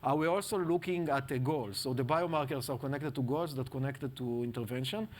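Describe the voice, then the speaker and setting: A man speaking on a panel, describing his product in halting English with many pauses and slips in grammar. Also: medium pitch. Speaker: panelist and startup founder. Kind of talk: panel discussion.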